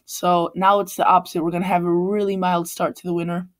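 A man's voice: high-pitched, flat delivery, fast talker. A man with a Polish accent hosting a weather channel, talking loosely over forecast maps and often stopping to correct himself. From Poland. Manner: rambling, frequent restarts and self-corrections. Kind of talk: casual forecast over screen-shared maps. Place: Chicago.

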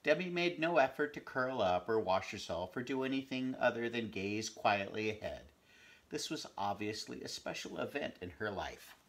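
An older man reads a storybook aloud in a measured, expressive way, recorded at home in a small room. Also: medium pitch. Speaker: storybook reader. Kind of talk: read-aloud storybook narration. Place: small home bedroom, indoors.

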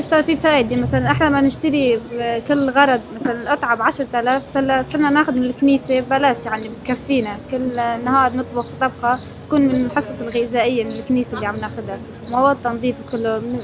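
A young woman with a Lebanese Arabic accent, giving a quick, plain testimony outdoors. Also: sits high. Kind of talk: testimony interview. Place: outdoors by a building.

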